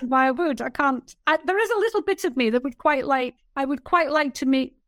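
High-voiced older woman mentor, emphatic. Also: fairly quick, a bit bright, no room sound, studio quality. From England. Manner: emphatic, repeats key phrases. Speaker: business mentor guest. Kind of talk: reflective interview talk.

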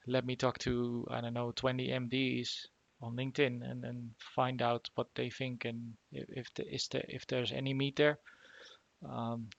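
A man hosting a casual remote interview, talking haltingly with lots of fillers and restarts. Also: mid-range voice, monotone, creaky, dry recording, muffled recording. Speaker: podcast host.

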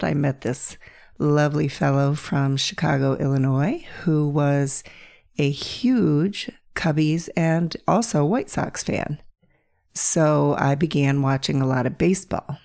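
A middle-aged woman from Pennsylvania hosting her podcast, hoarse and croaky from bronchitis, telling stories in a gentle, playful way. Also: deep voice. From north central Pennsylvania. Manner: gentle, playful storytelling with soft laughs. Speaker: podcast host. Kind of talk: reflective solo podcast monologue.

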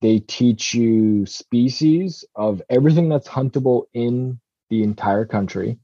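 A man's voice: mid-range voice, a little slow, warm tone, dry recording, dull audio. A man from Southeast Alaska, a commercial fisherman chatting casually as a podcast guest, rambling with many ums and restarts.

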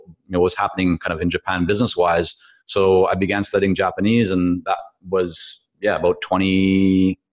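A man's voice: sits low, lo-fi sound. A man in his forties from Canada whose first language is Hebrew, talking as a guest in a rambling, hesitant way with many fillers. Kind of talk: podcast interview.

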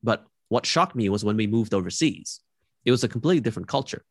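Low-voiced thoughtful man. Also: expressive, slightly rough, dry recording, clean studio sound. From Malaysia. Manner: thoughtful, measured, frequent "like" and restarts. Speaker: podcast guest. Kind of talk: reflective interview answers.

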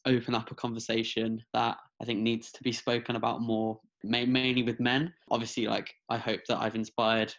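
A young man in his twenties speaks earnestly over a video call, often restarting his sentences. His voice sits at an ordinary pitch for a man. The audio sounds slightly dull and lo-fi. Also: monotone, normal speed, few pauses, fairly bright tone. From England and France. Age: 20s. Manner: earnest, many restarts and fillers. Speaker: guest, mental health advocate.

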